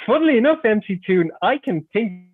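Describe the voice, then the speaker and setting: High-voiced Irish man on a tinny line. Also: no room sound. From Ireland. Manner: laughs often, stumbling restarts. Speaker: guest on a live stream. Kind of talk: casual chat. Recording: dry tinny phone-like line.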